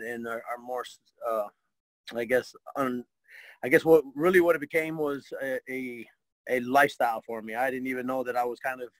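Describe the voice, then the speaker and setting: A man from Michigan, telling his life story as an interview guest, hesitant, with many pauses and restarts. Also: medium pitch, fairly bright tone, no room sound, poor audio. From Michigan, USA. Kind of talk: casual spoken life story.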